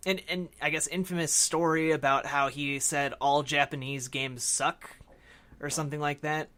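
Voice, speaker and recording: A man co-hosting a casual film discussion podcast, talking in a halting, discursive way that often hedges. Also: fairly high pitch, bright, forceful tone. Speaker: podcast co-host.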